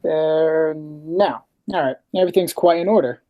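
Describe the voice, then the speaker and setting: A man's voice: a little high, measured pace, dull audio, close to an everyday voice. A man playing a mild, lonely asylum superintendent in a radio play, formal and hesitant. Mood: officious, hesitant.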